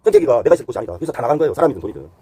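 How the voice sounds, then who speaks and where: A man's voice: medium pitch, very animated, rapid-fire, warm tone, husky, dry recording. A man giving unscripted explanatory commentary as a host, talking conversationally point by point and sliding between polite and blunt, casual phrasing.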